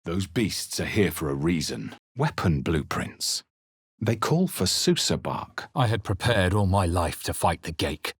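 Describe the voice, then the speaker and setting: An actor playing a grave, brooding male fantasy game hero, speaking in a measured, serious and intense way. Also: low-pitched, fairly bright tone, husky, dry recording, studio quality, heavily acted. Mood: terse, wary. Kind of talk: dramatic scripted fantasy game narration and character lines.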